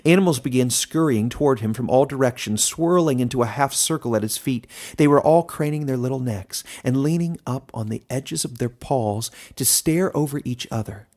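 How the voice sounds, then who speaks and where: A man reading scripted fantasy narration as a storyteller, deliberate and expressive. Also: mid-range voice.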